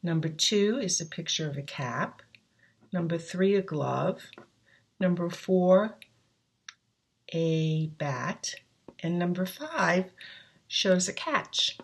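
A woman teacher slowly walking children through a worksheet, patiently explaining each step. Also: fairly low pitch, animated, creaky, slightly rough. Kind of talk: step-by-step instruction.

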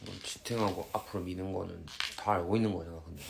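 A man's voice: low-pitched, fairly even intonation, quick pace, creaky, noisy background. A young man in his twenties, teasing and joking bluntly during a casual live stream.

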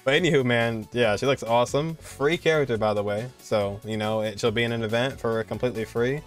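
A man's voice: mid-range voice, fairly quick, faint music behind. A man, giving casual, enthusiastic reaction commentary in an informal way. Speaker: reaction video host.

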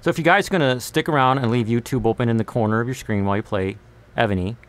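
A man's voice: mid-range voice, fast talker. Casual man chatting on a livestream. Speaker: live streamer and singer-songwriter. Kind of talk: casual live stream chat. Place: small bedroom home studio. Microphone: large condenser mic on boom arm, close.

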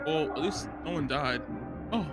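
A man's voice: on the high side, normal speed. A young adult man reacting casually to a movie, full of slang, exclamations and swearing, close on a microphone. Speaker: reaction show co-host. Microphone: close podcast microphone, headphones. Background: music and movie soundtrack.

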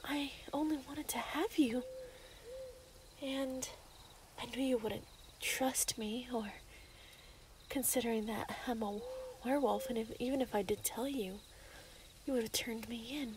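A woman's voice: fairly high pitch, a little slow, pauses often, noisy background, natural voice. A woman playing an obsessive, lovestruck young female werewolf in a yandere ASMR roleplay, menacing and possessive. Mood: pleading, confiding.

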